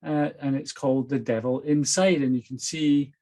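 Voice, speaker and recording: A man's voice: medium pitch, steady tone, normal speed, a little mellow. A Scottish man, an author, chatting and reading aloud from his own novel. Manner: enthusiastic, chatty.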